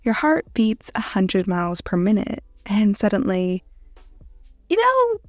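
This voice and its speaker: A woman narrating a scripted second-person story in a dramatic manner with deliberate pauses. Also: medium pitch, steady tone, darkish tone, dry recording, faint music behind. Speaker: narrator of fan fiction audio.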